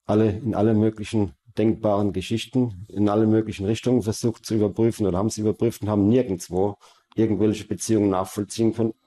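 A man speaks as an investigator in a documentary interview. His voice is fairly low and very even, and he feels his way through sentences, often rephrasing them. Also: fast.

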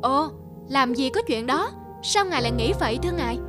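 A courteous, humble court official from a cartoon folktale, voiced by a woman. The voice is high, with music playing behind it. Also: animated, fairly quick. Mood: polite.

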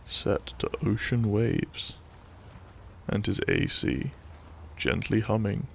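A man narrating a gentle sleep-aid story in a soothing, hushed way, lingering between short phrases. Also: fairly low pitch, takes it slowly, gravelly, a little hiss or hum. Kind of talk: soft, gentle bedtime story narration, ASMR-like.

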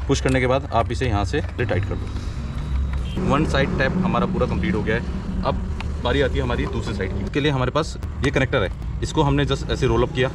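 A young man giving an eager, step-by-step casual demonstration of equipment while working outdoors beside a car. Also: mid-range voice. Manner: eager, explains step by step while handling gear. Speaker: product reviewer. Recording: noisy outdoor recording with spraying washer.

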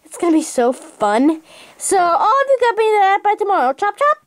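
A woman's voice: very high voice, very animated, fairly quick, no room sound. A woman playing a vain, self-important man who makes pompous, rambling demands in an amateur comic skit. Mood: bossy, self-satisfied.

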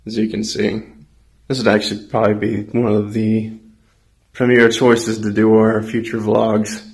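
A man's voice: on the low side, monotone, unhurried, creaky, poor audio. An American man in his twenties giving a casual, laid-back vlog tour, talking informally into a handheld phone indoors. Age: 20s. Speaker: vlogger. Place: empty apartment rooms indoors. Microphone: handheld phone at arm's length.

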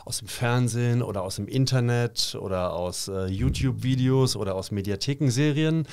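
A man hosting a podcast, asking calm questions and affirming his guests often. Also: mid-range voice, normal speed, smooth. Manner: calm, affirming, many short backchannels. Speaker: podcast host. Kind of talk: interview questions.

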